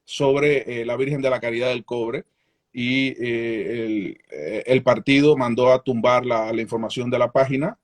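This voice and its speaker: A middle-aged Cuban man with a Cuban accent, a journalist answering interview questions in a measured, candid way with frequent restarts. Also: mid-range voice. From Cuba. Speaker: journalist guest.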